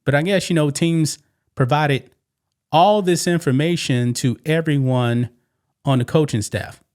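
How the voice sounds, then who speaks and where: A man's voice: fairly high pitch, unhurried, darkish tone. A man hosting a sports commentary show, giving casual opinions in a conversational way with rhetorical questions. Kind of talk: casual opinion commentary on sports news, reading quoted statements.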